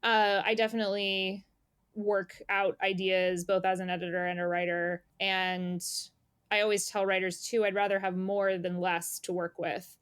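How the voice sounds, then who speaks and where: A woman's voice: medium pitch, level tone, normal speed, fairly bright tone, smooth, no echo. A woman speaking as an interviewed editor, talking casually and thoughtfully in an informal, conversational way. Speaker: magazine editor being interviewed.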